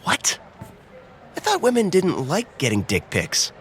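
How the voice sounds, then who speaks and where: A man's voice: sits high, huge pitch swings, a little slow, fairly bright tone, a little hiss or hum, heavily acted. An actor playing an awkward, easily embarrassed young man on a playful date, talking hesitantly and naturally in a romcom audio drama. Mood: casual, curious. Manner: hesitant, bashful, conversational.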